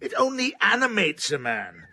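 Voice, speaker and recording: A man's voice: high voice, huge pitch swings, moderate pace, bright, forceful tone, full character voice. An actor playing a blunt, witty, domineering older man of letters in a period radio drama, arguing emphatically. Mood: opinionated. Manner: emphatic, argumentative, pronouncing.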